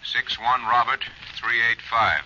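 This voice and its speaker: An actor playing a wry, brisk police desk officer in a 1940s radio crime drama, clipped and businesslike. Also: male voice, medium pitch, pushed and bright. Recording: dull old radio, faint noise.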